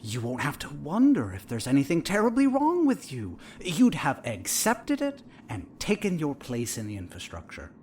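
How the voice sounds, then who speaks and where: An actor playing a calm, probing and coolly manipulative therapist in an audio drama, speaking in a measured, clinical, needling way. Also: male voice, on the high side, slight background noise. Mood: quietly sinister.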